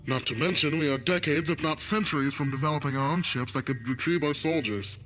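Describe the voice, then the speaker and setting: A man's voice: on the high side, a little fast, a bit bright, close to an everyday voice. A man playing a dismissive, evasive alien intelligence official in a science fiction audio drama. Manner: dismissive, hedging.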